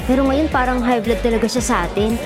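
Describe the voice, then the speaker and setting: Woman acting a high-voiced caring, teasing friend. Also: fairly even intonation, fast talker, lightly acted. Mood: teasing, concerned. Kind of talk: Tagalog radio drama acting. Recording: music and crowd behind. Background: music, crowd chatter.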